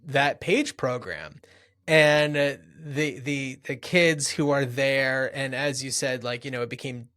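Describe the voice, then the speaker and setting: Guy cohosting a movie podcast, mid-range voice, loose chatty delivery, kinda thinking out loud. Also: mid-range voice, takes it slowly. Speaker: podcast co-host. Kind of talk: casual movie discussion.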